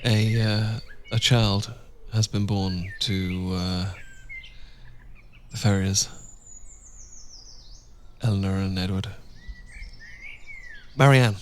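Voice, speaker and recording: A British man playing a tender, devoted Regency gentleman husband in an intimate ASMR roleplay, speaking gently and a little hesitantly in formal period phrasing. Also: fairly low pitch, fairly even intonation, very slow, pauses often, a little hiss or hum. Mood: gentle, chatty. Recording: outdoor birdsong ambience behind voice. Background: birdsong and outdoor ambience.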